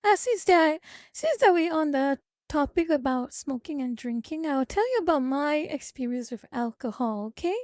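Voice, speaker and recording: A woman's voice: sits high, animated, normal speed, darkish tone, no room sound, clean studio sound. A woman streaming live, chatting casually with viewers, reading their comments and lecturing them. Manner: chatty, lecturing, reads chat aloud. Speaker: VTuber streamer.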